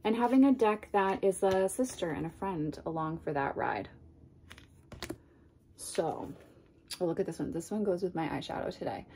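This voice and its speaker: A woman's voice: fairly low pitch, lively intonation, moderate pace, pauses often, slight background noise. A woman in her thirties with a stuffy, congested voice from a cold, chatting casually to camera as she unboxes a card deck at home, gushing and wandering into asides. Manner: gushing and chatty, drifting into asides and soft whispers. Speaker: tarot and oracle deck reviewer. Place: home room lined with bookshelves, indoors. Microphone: camera in front at desk distance.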